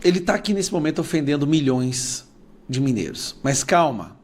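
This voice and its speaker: Outraged commentator, high voice. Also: male voice, animated, moderate pace. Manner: outraged, emphatic, addresses viewers directly. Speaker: political commentator. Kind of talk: indignant video commentary.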